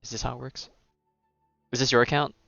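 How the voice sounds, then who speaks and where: A man's voice: medium pitch, flat delivery, a little fast, creaky, sharp tone, no echo, lo-fi sound, faint music behind. A man giving casual livestream game commentary, rambling and thinking aloud in a hesitant way. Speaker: game streamer.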